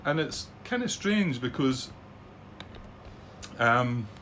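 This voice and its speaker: A man's voice: medium pitch, lively intonation, takes it slowly, a bit bright, a little hiss or hum. A middle-aged man with a Scottish accent hosts casual commentary on a solo board wargame from his home desk, rambling and hesitant with lots of ums and restarts. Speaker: board wargame channel host.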